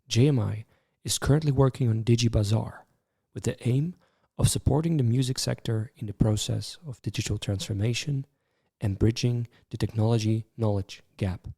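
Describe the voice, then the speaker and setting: A man hosting a podcast, reading a measured prepared introduction and asking interview questions. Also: medium pitch, fairly even intonation, vocal fry, warm tone, husky. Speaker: podcast host.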